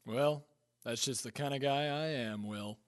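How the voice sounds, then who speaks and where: An actor playing a cocky, reckless adventurer in a radio comedy, smug and full of quips. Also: male voice, medium pitch, a little slow, close to an everyday voice. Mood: self-satisfied. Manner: smug, quipping, swaggering. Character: cocky, reckless male adventurer hero, self-satisfied.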